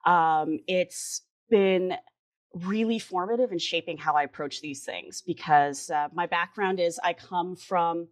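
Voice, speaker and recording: A woman's voice: on the low side, a little slow. A woman in her forties from the Chicago suburbs, giving an earnest, articulate interview answer with emphatic repetitions. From Chicago suburbs, US Midwest. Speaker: interview guest, writer and editor.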